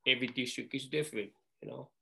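An older man from Hawaii speaks. He recalls his early life in plain, halting phrases, repeating words as he goes. His voice sits at an ordinary middle pitch. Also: vocal fry, sharp tone. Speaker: interview guest. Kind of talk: storytelling reminiscence.

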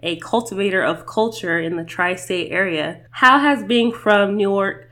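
A young woman in her twenties hosts a casual interview, rambling comfortably with plenty of fillers and quick laughs. Her voice comes through bright and tense-edged, at an ordinary middle pitch. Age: 20s. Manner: chatty, loose, lots of "like" fillers, laughs easily. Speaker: podcast host.

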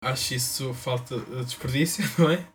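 A young man from Portugal with a Porto accent, co-hosting a podcast and bantering with his friend. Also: medium pitch. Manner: quick, teasing, defensive replies. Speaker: podcast co-host. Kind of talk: casual banter between friends.

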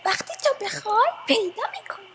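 A woman's voice: very high-pitched, a bit bright, a little hiss or hum. A woman playing a tiny, affectionate, devoted sparrow in a children's radio play.

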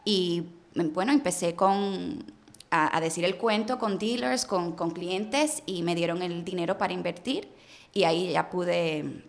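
A woman's voice: on the low side, gravelly. Woman sprinkling in English words. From Puerto Rico and the United States. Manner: mixes English words into her Spanish. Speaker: interview guest, car business entrepreneur. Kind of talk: conversational interview storytelling.

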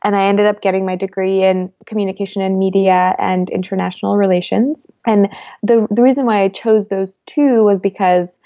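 A young woman in her twenties, a guest in an interview, talking thoughtfully with many pauses, fillers and restarts. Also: mid-range voice, steady tone, dark tone, dull audio. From United States. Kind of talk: reflective conversational interview.

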